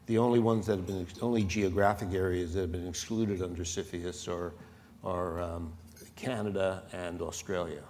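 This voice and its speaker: Deep-voiced vc guy on a panel, steady and measured, hall echo, slight hiss. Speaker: venture capital investor. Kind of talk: conference panel discussion. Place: conference hall. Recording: reverberant hall with faint noise.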